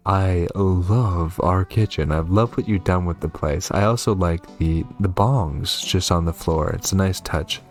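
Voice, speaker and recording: A man's voice: sits low, a little slow, hardly any pauses, faint music behind. A man doing casual commentary as he plays through a game, given to gushing, amused asides. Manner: gushing over cute things, amused asides. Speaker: gamer, video host. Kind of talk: casual playthrough commentary, reading game text aloud.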